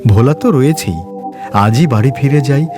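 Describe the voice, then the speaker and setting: A devoted manservant, acted by a male storyteller. He addresses his master with deference and a touch of self-importance, mid-pitched, over storybook music. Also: lively intonation, normal speed, natural voice. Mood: earnest. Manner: deferential yet officious.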